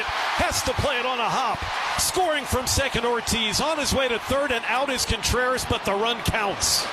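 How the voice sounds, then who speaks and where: A man's voice: high voice, very noisy. A man doing baseball play-by-play on the radio, with clipped, descriptive calls that rise on the action. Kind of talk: radio sports commentary.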